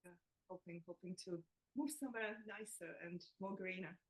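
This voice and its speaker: A woman's voice: on the low side, normal speed, rough recording. A woman from the Czech Republic, a nutritionist guest in a podcast interview, chatty and self-deprecating, laughing as she talks. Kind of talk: casual interview chat.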